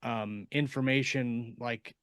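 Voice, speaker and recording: Halting man explaining ideas on a podcast. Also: mid-range voice, steady tone, a bit bright, no echo, studio quality. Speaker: podcast panelist and fiction writer. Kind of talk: casual podcast discussion.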